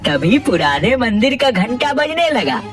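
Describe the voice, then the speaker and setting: A solemn cartoon village priest played by a woman, grave and consoling. The pitch moves expressively, with loud music behind. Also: very animated, measured pace. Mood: grave concern. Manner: grave, foreboding.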